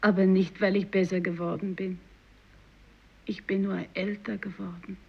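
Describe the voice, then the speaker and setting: Eine Schauspielerin spielt im literarischen Hörspiel eine verbitterte ältere Fischersfrau, die ihr Kind verloren hat. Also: female voice, mid-range voice, little pitch movement, moderate pace, gravelly, husky. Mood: bitter grief. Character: embittered, older fisherwoman who lost her child. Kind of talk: 1950s literary radio play acting. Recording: dull, lo-fi radio recording.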